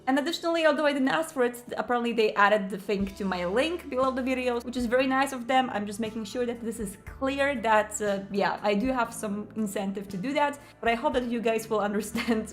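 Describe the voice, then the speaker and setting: A woman with a slightly high-pitched voice presents a review to her viewers. Her delivery is excitable and emphatic, casual but explanatory. Music plays behind her voice. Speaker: video host and game reviewer. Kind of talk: enthusiastic scripted-casual product explainer to camera.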